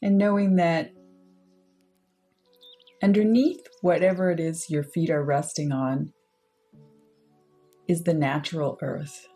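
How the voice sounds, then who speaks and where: A woman leading a guided meditation, speaking in unhurried, measured short phrases with pauses between them. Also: low-pitched, fairly even intonation, mellow, soft background music. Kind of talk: guided meditation, slow soothing instruction.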